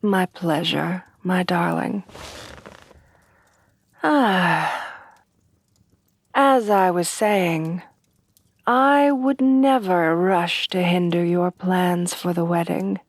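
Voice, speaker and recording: Woman voicing a slow, soft, refined monster bride. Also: lowish voice, no echo, full character voice. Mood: gentle, doting. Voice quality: soft, breathy, often dropping to whispers. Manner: refined, formal, courtly, tenderly doting. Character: refined, devoted headless horsewoman bride-to-be, adult monster girl. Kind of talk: soft-voiced romantic ASMR roleplay.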